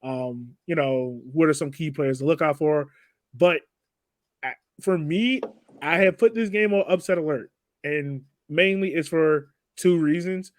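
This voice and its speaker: A man's voice: fairly high pitch, measured pace, no echo. A man hosting a casual sports podcast, talking in an informal, slangy way. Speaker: podcast host.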